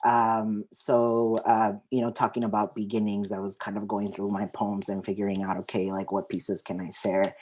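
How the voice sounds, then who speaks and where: A woman's voice: rumbling low, level tone, normal speed, few pauses, darkish tone, a little hiss or hum, rough recording. A woman, sharing her poetry at an open mic. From Mexico, raised partly in the US Southwest. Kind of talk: spoken-word poetry reading with casual introduction.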